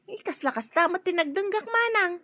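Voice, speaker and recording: A woman's voice: extremely high pitch, expressive, normal speed, bright, forceful tone, dry recording, full character voice. An actress playing a gossipy, slightly scatterbrained, confiding woman in an Ilocano radio drama. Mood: puzzled, confiding.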